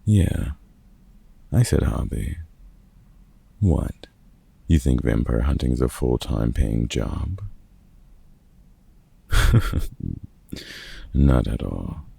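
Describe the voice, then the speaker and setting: Gruff but kindly vampire hunter, played by a man for roleplay audio: heavily fried, dark, raspy voice, sardonic and grumbling. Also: extremely low pitch, expressive, drawn-out words, pauses often, heavily acted. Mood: amused, dry. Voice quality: gravelly, husky. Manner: dry, sarcastic, grumbling. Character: gruff, grudgingly kind older male vampire hunter. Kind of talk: roleplay ASMR audio drama monologue.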